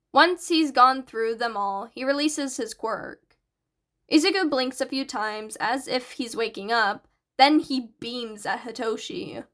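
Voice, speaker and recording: A woman's voice: on the high side, a bit bright. A woman reading scripted fan fiction aloud as a podfic narrator.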